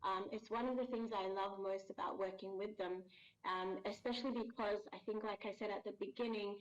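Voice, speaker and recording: A woman speaking at a middle pitch, measured and reflective, taking her time over each answer. Her voice comes through a dull, lo-fi recording. She talks casually as a guest voice actor. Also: fairly even intonation. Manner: measured, thoughtful, explains at length with pauses. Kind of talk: casual interview chat. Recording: dull lo-fi sound.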